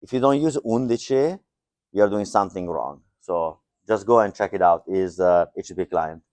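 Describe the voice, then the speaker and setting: A middle-aged man keeps a live tech talk loose and jokey, full of fillers. His voice sits low and smooth. It is picked up close and dry, with no room sound. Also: normal speed, darkish tone, dry recording. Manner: joking, loose and conversational, many fillers. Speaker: conference speaker. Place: lecture hall.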